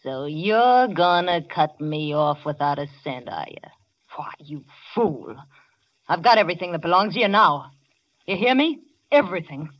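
An actress playing a scornful gold-digging wife in a 1940s radio melodrama, sneering and slangy, on an old radio recording. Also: female voice, fairly low pitch, animated, normal speed, highs cut off, natural voice. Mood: gloating, cruel.

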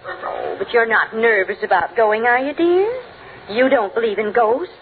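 An American actress playing a gentle, chatty middle-aged wife and mother in 1940s radio comedy style. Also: a little high, expressive, moderate pace. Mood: affectionate. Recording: hissy, narrow-band old radio recording.

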